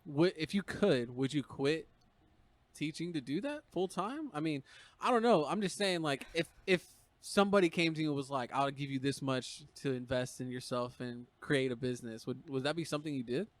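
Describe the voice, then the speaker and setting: A man's voice: a little high, lively intonation, normal speed, no echo. A man hosting a podcast, asking questions in an easygoing, rambling way with many fillers. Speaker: podcast host. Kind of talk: casual podcast interview.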